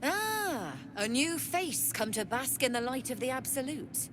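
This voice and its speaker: A woman voicing a smooth-talking female smuggler merchant with a silky, businesslike manner. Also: high-pitched, sing-song, measured pace, noisy background. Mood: sly.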